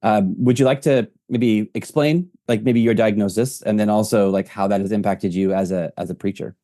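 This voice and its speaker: Man, interview host, fairly low pitch, warm tone, dry sound, halting with fillers. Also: fairly even intonation, dry recording. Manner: halting, with fillers and repeated words. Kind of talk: interview questions.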